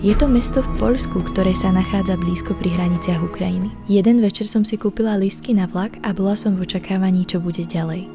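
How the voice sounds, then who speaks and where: A woman reading a scripted narration over music at a brisk pace. Her pitch is mid-range and her tone is sincere and reflective. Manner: earnest, reflective storytelling. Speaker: vlogger narrating her own film.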